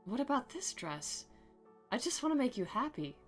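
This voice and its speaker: A sheepish young anime schoolgirl, put on by a woman, pitched up and softly pleading. She sounds eager to please and questioning, in a visual novel style with faint music behind. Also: sing-song, moderate pace. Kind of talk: dramatic visual novel reading.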